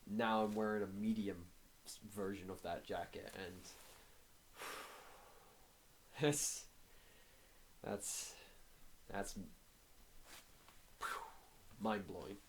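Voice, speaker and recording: A man with a cold whose voice comes out hoarse and low-pitched, giving a casual update straight to camera. He speaks very slowly and haltingly, stopping often to fill the gaps. A faint hiss of noise sits behind him. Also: level tone, rough recording. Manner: halting, many filler pauses. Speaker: fitness vlogger.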